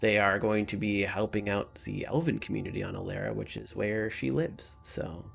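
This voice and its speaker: A man improvising narration as a game master in a halting way, rephrasing as he goes. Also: fairly low pitch, steady tone, vocal fry, a bit bright, faint music behind.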